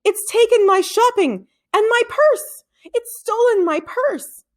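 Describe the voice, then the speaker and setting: A woman's voice: very high-pitched, lively intonation, slow pace, pitched above the performer's own voice, exaggerated voice. A woman reading a storybook, voicing a panicked woman in her eighties calling out shrilly for help. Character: panicked eighty-three-year-old woman crying for help.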